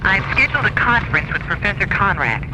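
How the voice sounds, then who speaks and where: An actress playing a composed, commanding space station director, heard through a radio filter in a radio drama. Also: female voice, medium pitch, big pitch swings, quick pace. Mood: businesslike. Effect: radio transmission filter. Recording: noisy radio link, old radio drama.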